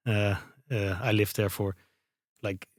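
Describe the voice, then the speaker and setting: A man's voice: on the low side, little pitch movement, slow, no room sound, clean studio sound. A Dutch man in his thirties, a native Dutch speaker, answering interview questions in English with a Dutch accent, hesitant and searching for words with many fillers. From Netherlands. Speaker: guest, company founder.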